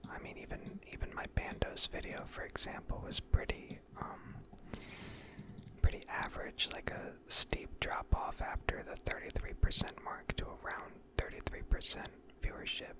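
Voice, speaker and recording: Whispering woman doing ASMR game commentary. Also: moderate pace. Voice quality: breathy unvoiced whisper. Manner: rambling, unscripted, self-deprecating. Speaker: gaming video creator.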